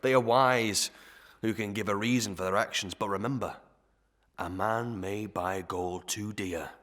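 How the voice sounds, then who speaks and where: A man acts out a self-important, greedy parish clerk in a Victorian audio melodrama. His voice sits fairly low and he takes his time over every phrase. He lectures in archaic proverbs and scraps of Latin, with sly pomposity. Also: steady tone, measured pace, a bit bright. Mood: pompous, self-important. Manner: pompous, sententious, archaic speech with Latin tags.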